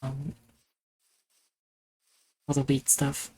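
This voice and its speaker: A woman's voice: very deep voice, monotone, drawn-out words, dark tone. A woman from Germany with a German accent, chatting casually and rambling with self-mocking humor while she streams a game. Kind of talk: casual gaming chat.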